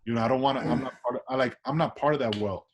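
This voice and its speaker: A man's voice: mid-range voice, rapid-fire, slight background noise. A man from Washington Heights with a New York accent, an artist chatting casually in an interview, loose and full of restarts and tag questions. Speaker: artist guest.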